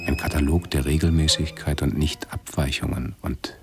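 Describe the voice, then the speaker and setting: A man playing an anxious, suffering hypochondriac in a radio drama, muttering halting, pedantic scholarly phrases. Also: extremely low pitch, steady tone, creaky, loud music behind the voice. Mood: pedantic, detached. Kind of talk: 1970s German radio drama acting.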